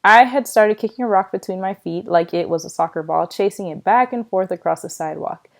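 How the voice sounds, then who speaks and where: A woman reads a children's novel aloud as a storyteller. Her voice sits at a middle pitch. She narrates expressively, leaning on words for emphasis. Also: brisk, creaky. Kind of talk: read-aloud storytelling with acted dialogue.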